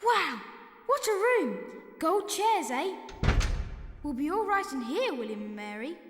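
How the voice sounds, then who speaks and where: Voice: very high-pitched, big pitch swings, unhurried, music in the background, heavily acted. An actor playing an eager young boy chatting to his pets in a dramatized audiobook. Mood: excited. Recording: echoey large hall, faint noise.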